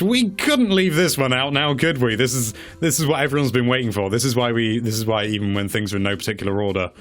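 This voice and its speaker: Wry man reviewing films. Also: medium pitch. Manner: wry, mock-dramatic emphasis with drawn-out words and repetition. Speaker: presenter of a video game movie countdown. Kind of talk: casual opinionated review commentary.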